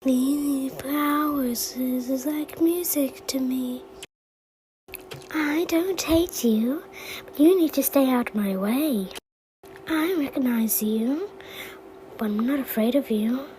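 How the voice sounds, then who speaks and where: A woman's voice: high-pitched, slow pace, pauses often, dark tone, a little hiss or hum, low-quality recording. A woman voicing a wary, protective animatronic from a horror game in a defiant, theatrical way. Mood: defiant, guarded. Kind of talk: fan recreation of horror game voice lines.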